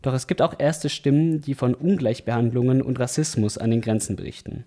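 A man's voice: medium pitch, brisk, mellow. A man hosting a podcast, reading a prepared introduction and asking interview questions steadily. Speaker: podcast host.

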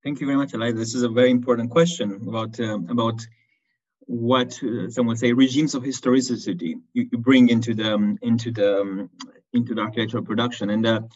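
A man presenting at an academic conference, speaking haltingly with many restarts and fillers. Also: medium pitch, fairly even intonation, darkish tone. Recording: dull lo-fi recording.

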